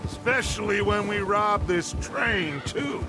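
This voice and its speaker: An actor playing a proud middle-aged outlaw gang leader in a western video game, with a theatrical, speechifying manner. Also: male voice, high voice, takes it slowly, music in the background. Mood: confident.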